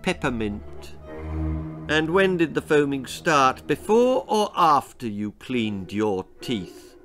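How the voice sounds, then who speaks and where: A man's voice: mid-range voice, takes it slowly, soft background music. Man playing a grim, curt doctor. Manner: flat, curt, muttering. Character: grim, impatient, busy male doctor.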